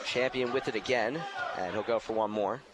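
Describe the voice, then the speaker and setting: A young man giving live basketball play-by-play, excitable and raising his voice on big plays. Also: lowish voice, moderate pace. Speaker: play-by-play announcer and sports show host. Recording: noisy gym ambience, bouncing ball. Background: basketball game in an indoor gym.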